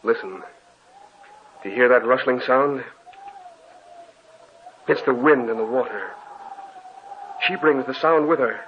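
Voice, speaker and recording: A man's voice: medium pitch, unhurried, pauses often, natural voice. An actor playing a haunted, guilt-ridden man in a 1940s radio horror play, speaking tensely and fearfully. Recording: dull old radio recording with faint hiss.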